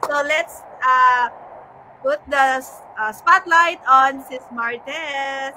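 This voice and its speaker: Filipino woman, motivational online host. High-pitched, encouraging, Taglish, slight background noise on a call. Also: extremely slow, pushed and bright, low-quality recording. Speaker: host and coach. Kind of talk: motivational live online webinar hosting, mixing Tagalog and English. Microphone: online video call.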